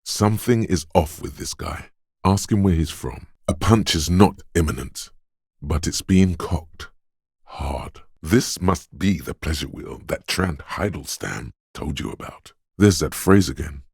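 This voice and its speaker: An actor playing a detective's quick-witted, suspicious inner voice of intuition in a video game, narrating in a measured, knowing, urging manner. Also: male voice, expressive, a little mellow, no echo, studio quality, full character voice. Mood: wry, suspicious. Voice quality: very deep, smooth, resonant. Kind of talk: scripted game narration, second-person inner voice.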